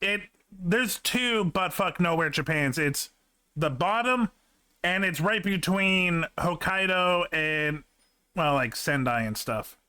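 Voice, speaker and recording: A man chatting casually on a stream, reacting excitably and swearing casually. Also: high-pitched, slow, clean tone. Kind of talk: casual chat.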